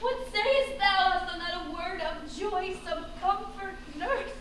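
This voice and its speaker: A woman's voice: extremely high pitch, a little slow, a bit bright. A woman playing a desperate young daughter. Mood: despairing. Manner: earnest, shifting from defiance to pleading. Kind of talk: Shakespearean stage acting. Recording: echoey stage from far off, faint noise.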